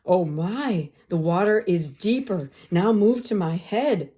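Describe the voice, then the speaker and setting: A devious storybook fox, acted out by a woman. He croons and coaxes in drawn-out, falsely sweet phrases. The pitch slides around expressively, sly all the way. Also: animated, warm tone. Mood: coaxing.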